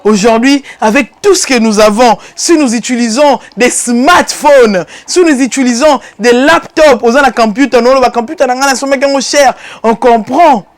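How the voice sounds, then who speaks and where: A woman from DR Congo with a Congolese accent, hosting a political commentary show and speaking emphatically, climbing higher and louder on key points before dropping low. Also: normal speed, few pauses. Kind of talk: impassioned political commentary monologue.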